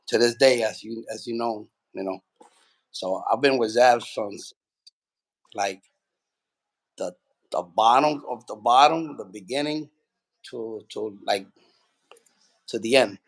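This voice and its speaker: A man from Puerto Rico, a boxing trainer, telling his story in a relaxed, rambling way with lots of fillers. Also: fairly low pitch, unhurried, long pauses, rough recording. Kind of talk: casual interview.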